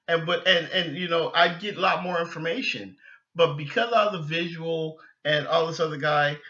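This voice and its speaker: A man with a fairly high, very bright and forceful voice talks casually as an opinionated sports fan. He rambles, doubling back on phrases as he goes. The recording is slightly dull and lo-fi. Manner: rambling, repeats and restarts phrases. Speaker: sports podcast host.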